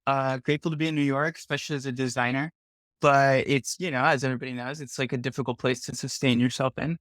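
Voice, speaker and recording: A man's voice: mid-range voice, steady tone, fast, fairly bright tone, dry recording, studio quality. A young man from Colombia, an industrial designer, chatting casually and rambling with many "like"s. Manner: rambling, heavy use of "like". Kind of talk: casual video chat.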